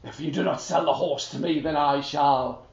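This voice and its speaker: A man voicing a stubborn young male hero in a fairy tale, quietly firm and low. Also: steady tone, normal speed, creaky, echoey room, highs cut off.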